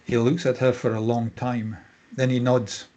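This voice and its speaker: A man's voice: medium pitch, level tone, normal speed, dark tone, slight background noise. A man reading stage directions for a screenplay as scripted narration.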